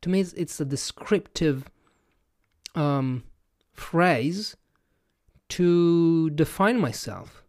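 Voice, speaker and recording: A man's voice: on the high side, lively intonation, very slow, a little mellow, no room sound, studio quality. A man with an Italian accent, a podcast host thinking aloud to his audience, hesitant, with many fillers and restarts. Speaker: podcast host and language educator. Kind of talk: casual reflective monologue to camera.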